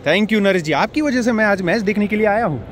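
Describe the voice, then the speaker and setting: A young man vlogging with a handheld camera at an outdoor cricket stadium, chatting excitedly to his viewers. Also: high-pitched, a little fast. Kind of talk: casual walking vlog. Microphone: handheld action camera. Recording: noisy outdoor crowd ambience.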